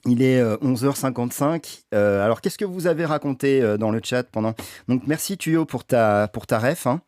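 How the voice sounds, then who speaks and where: A man's voice: mid-range voice, lively intonation, moderate pace, a little mellow, no room sound, clean studio sound. A man hosting a livestream reading session, talking casually with many hesitations and restarts. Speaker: stream host reading a book aloud.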